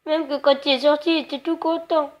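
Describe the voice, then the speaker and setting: A woman's voice: high-pitched, fairly even intonation, a little fast, lightly acted. A woman playing a naive, plaintive pet owner in a prank phone call, childlike and insistent as she rambles off the point. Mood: wistful, rambling. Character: naive, plaintive woman grieving her little pet dog.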